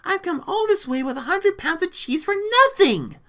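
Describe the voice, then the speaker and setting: A woman's voice: high voice, very animated, normal speed, pitched above the performer's own voice. A woman voicing a tired woman traveler in a storybook, blunt, exasperated and complaining. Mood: grumbling.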